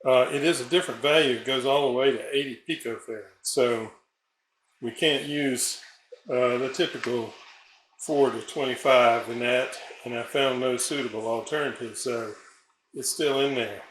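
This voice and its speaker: A man giving a casual hands-on repair demonstration, thinking aloud in a halting way as he works. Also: mid-range voice, steady tone, fairly bright tone. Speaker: radio repair hobbyist and narrator. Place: indoors at a repair bench. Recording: roomy echo, background noise, small clicks.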